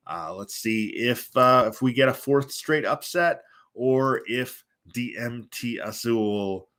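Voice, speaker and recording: A man's voice: mid-range voice, takes it slowly, a bit bright. A man calling a wrestling match, congested and sleepy, rambling with lots of fillers between bursts of hype. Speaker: wrestling commentator. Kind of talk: live play-by-play commentary. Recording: music playing behind. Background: music.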